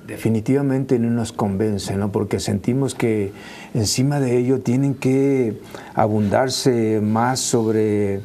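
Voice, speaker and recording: A man's voice: mid-range voice, fairly even intonation, slow, mellow, a little hiss or hum. A man with a Mexican accent, a correspondent speaking as a panel guest, hesitant and often adding tag questions. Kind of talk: spoken panel commentary. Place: TV studio.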